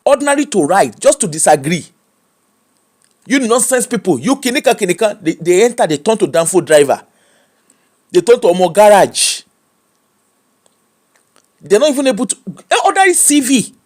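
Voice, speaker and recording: A man with a Nigerian accent giving opinionated commentary, animated and mocking, slipping into pidgin. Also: sits high, normal speed, pauses often. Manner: animated, switches into pidgin and mimics people.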